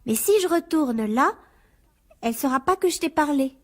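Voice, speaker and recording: An actress playing a frightened, pleading teenage daughter in a French radio drama, anxious and insistent. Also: female voice, high-pitched, animated, moderate pace.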